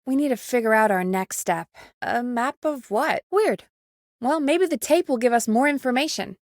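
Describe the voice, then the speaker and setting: A woman's voice: fairly high pitch, lively intonation, normal speed, no room sound, studio quality. A woman playing a frank, anxious but caring radio producer in a video game, talking in an informal, emphatic, exasperated way. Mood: puzzled, urgent. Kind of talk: conversational video game voice acting.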